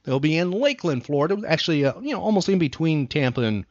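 A man hosting a podcast in casual banter with a co-host, talking in an animated, teasing way and repeating himself for emphasis. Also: fairly high pitch, fast, no room sound, dull audio.

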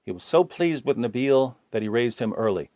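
A man reading viewer comments aloud in a plain, steady voice. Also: medium pitch, normal speed, no room sound.

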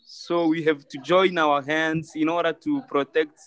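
A man from Congo with a Congolese French accent, his first language French, speaks English on a video call as a climate activist. He talks very slowly and haltingly, doubling words as he searches for them. His voice sits a bit high and sounds dry and close. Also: little pitch movement, fairly bright tone, dry recording. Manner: halting, apologetic, repeats words.

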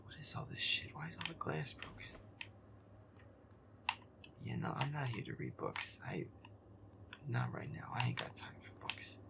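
A man playing a feisty, profane young werewolf boyfriend muttering jumpily through a scary video game in a breathy, hushed voice. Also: mid-range voice, sing-song, normal speed, pauses often, in a whisper. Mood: uneasy muttering. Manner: profane, irritable, jumpy muttering. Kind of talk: improvised-sounding gaming commentary, audio roleplay.

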